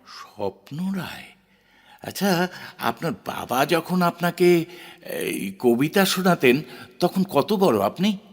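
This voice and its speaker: An actor playing a married poet, romantic, conflicted and self-questioning, in an emotive, sometimes halting audio drama style. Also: male voice, a little high, very animated, heavily acted. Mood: curious. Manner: theatrical, emotive, halting at times. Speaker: actor and first-person narrator. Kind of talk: Bengali audio drama acting with introspective narration. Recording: music under the voice. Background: background music.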